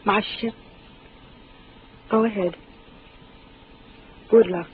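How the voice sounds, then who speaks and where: A woman's voice: medium pitch, steady tone, extremely slow. An actress in a 1950s radio drama playing a poised, inquisitive young woman doctor from a spaceship. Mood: guarded. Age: young adult. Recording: thin, phone-like, noisy 1950s radio.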